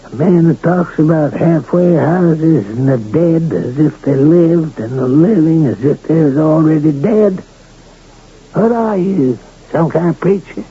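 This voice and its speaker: An actor playing an ailing, gruff, luckless gambler rancher in a radio drama, blunt and folksy. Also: male voice, fairly high pitch, a little slow. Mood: suspicious, irritated. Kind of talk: 1980 radio drama acting. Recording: dull, noisy vintage radio broadcast.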